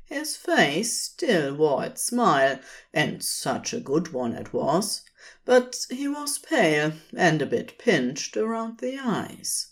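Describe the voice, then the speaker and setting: Slow, lively-pitched woman storyteller. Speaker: podfic reader. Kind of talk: scripted fiction narration.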